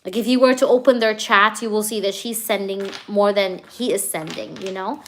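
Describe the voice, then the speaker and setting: A woman doing a live tarot reading for a viewer. She talks in a chatty, energetic way, full of "you know" and "okay", and keeps checking whether things make sense to the viewer. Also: mid-range voice, normal speed, slight background noise. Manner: addresses the viewer directly, asks if things resonate. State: hyper, energetic. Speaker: tarot reader.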